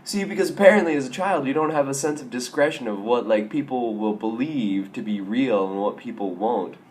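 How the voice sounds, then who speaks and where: A young man with a raspy, hoarse voice from a sore throat, telling stories in a casual, self-mocking vlog. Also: medium pitch, fairly quick, a little mellow, a little hiss or hum. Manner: self-mocking, wry, rambling with restarts. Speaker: vlogger.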